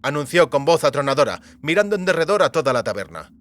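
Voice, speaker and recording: Slightly high-voiced male audiobook narrator. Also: sing-song, fast, a bit bright, no echo. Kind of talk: scripted fantasy narration.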